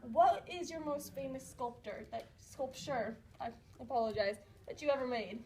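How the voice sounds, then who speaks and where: High-voiced woman playing an anchor. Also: moderate pace, a little mellow, slight background noise. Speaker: news anchor in a school skit. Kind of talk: acting out a mock news show.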